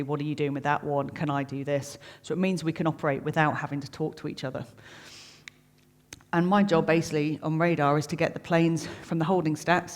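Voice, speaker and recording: A middle-aged air traffic controller giving an informal explanatory talk, plain-spoken and chatty, making technical detail simple. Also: medium pitch. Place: reverberant room, live talk to an audience. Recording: long echoing hall reverb.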